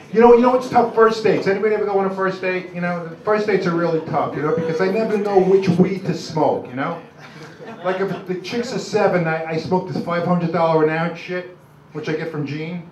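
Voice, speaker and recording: An older man with a New York accent does halting, self-deprecating, rambling stand-up comedy. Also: high-pitched, darkish tone, distant mic. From Brooklyn, New York, USA. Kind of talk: live stand-up comedy, crude jokes. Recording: dull, noisy, echoey club recording from afar.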